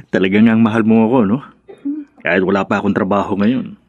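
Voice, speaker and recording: Husky, sweet-talking Filipino suitor. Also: male voice, fairly low pitch, fairly even intonation, normal speed, a little mellow, dry recording, dull audio. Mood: coaxing, tender. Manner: coaxing, hesitant, sugary. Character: jobless male suitor, sweet-talking and coaxing. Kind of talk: Filipino radio drama acting.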